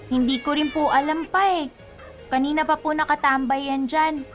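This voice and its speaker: Woman doing little filipino schoolgirl, super high voice, polite n curious, radio drama, soft music behind. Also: fairly even intonation, normal speed, close to an everyday voice. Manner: polite, innocent, questioning. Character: cheerful, dutiful young schoolgirl granddaughter.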